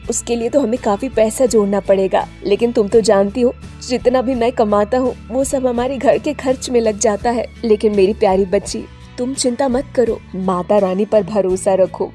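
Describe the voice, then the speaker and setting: Poor idol-seller dad female narrator acting, high plaintive voice, devout, cartoon story, music beneath. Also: dark tone, natural voice. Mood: gentle, resigned. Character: poor, sorrowful, devout father who sells idols. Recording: music under the voice.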